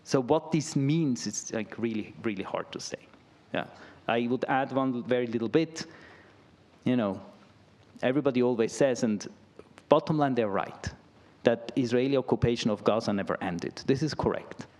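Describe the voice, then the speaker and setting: A man's voice: mid-range voice, moderate pace, darkish tone. A middle-aged man giving an academic lecture and answering questions, talking loosely with lots of "right?" and "I mean". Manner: conversational, peppered with "right?" and "I mean".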